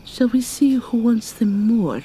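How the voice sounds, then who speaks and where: A woman voicing a seductive, menacing vampire mermaid villainess in an ASMR roleplay, taunting and purring with sudden high swoops. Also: lively intonation, measured pace, heavy background noise.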